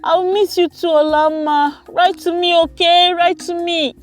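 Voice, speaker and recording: A woman voicing an affectionate, excitable teenage village girl in a storybook tale. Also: medium pitch, normal speed, faint music behind, natural voice. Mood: sad, affectionate.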